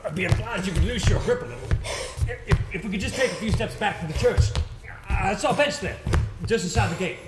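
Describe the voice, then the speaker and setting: A man acting in a stage play as a polite, anxious, theatrical English gentleman with formal, hesitant phrasing. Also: sits high, fast, close to an everyday voice. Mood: alarmed, solicitous. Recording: roomy, noisy stage play recording.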